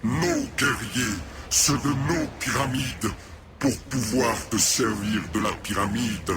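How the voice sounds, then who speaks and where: A man's voice: mid-range voice, takes it slowly, background noise. A man playing a stern ruler who pronounces judgment in a declamatory, ceremonial way in a dubbed game cutscene. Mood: solemn condemnation. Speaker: video game character, judge. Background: loud music.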